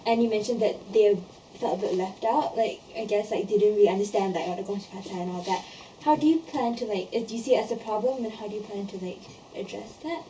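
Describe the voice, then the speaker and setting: A woman in the audience putting two questions to the candidate, halting and full of fillers. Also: medium pitch, mellow. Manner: hesitant questions, many "like" fillers. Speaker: society member asking questions. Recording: distant, muffled, noisy room.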